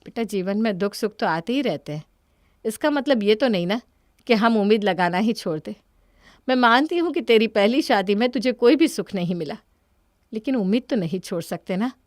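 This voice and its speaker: A woman's voice: mid-range voice, expressive, moderate pace, no echo, studio quality, natural voice. Woman voicing an anxious, coaxing ageing mother. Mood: worried, coaxing. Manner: coaxing, pleading.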